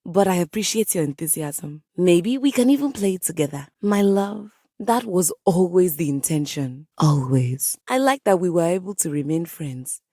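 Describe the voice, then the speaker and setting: A woman's voice: fairly low pitch, animated, normal speed, hardly any pauses, warm tone, dry recording, clean studio sound. A woman playing a passionate piano come to life in a video game, a haughty, dramatic composer with florid, formal diction. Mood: affectionate. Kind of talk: video game character voice acting.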